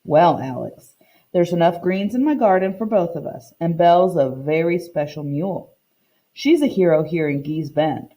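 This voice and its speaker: A woman voicing a kindly, proud old Southern woman in a children's picture book read-aloud, with an unhurried, folksy way of talking. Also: lowish voice, lively intonation, mellow, poor audio. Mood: warm, proud. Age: older.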